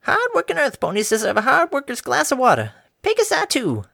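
A man's voice: extremely high pitch, wildly swooping pitch, fairly quick, gravelly, sharp tone, no room sound, clean studio sound, pitched above the performer's own voice, heavily acted. A man voicing an elderly farm mare with a sing-songy, giggly old-lady drawl. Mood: chirpy. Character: chirpy elderly farm mare, sweet and doting.

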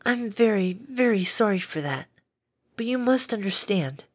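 A woman voicing a timid, gentle female pegasus pony who speaks softly and soothingly. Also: mid-range voice, lively intonation, measured pace, breathy edge, pitched above the performer's own voice. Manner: soft, soothing, gently pleading.

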